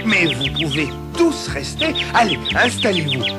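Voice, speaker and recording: A huge, kindly fir tree in an animated cartoon. He speaks in a hesitant, reassuring way, with a gentle and slightly worried temper. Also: fairly high pitch, measured pace, music in the background. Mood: gentle, welcoming. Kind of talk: French-language animated cartoon acting.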